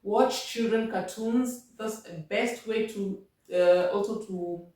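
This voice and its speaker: A woman's voice: mid-range voice, slow, mellow, recorded from a distance. A Kenyan woman vlogging language-learning tips at home, repeating and rephrasing as she patiently explains. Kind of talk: casual advice talk. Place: home room. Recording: very echoey room, voice off-mic.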